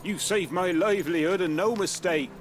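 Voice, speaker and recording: A man's voice: sits high, moderate pace, over music, close to an everyday voice. An actor playing a humble working-class fisherman in a video game, talking in a folksy British accent. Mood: grateful. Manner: folksy, plainspoken.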